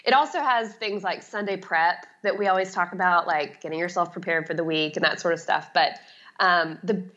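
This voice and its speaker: Bright-voiced woman, chatty and emphatic. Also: medium pitch, muffled recording. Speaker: guest, home organizing expert. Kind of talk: casual conversational interview.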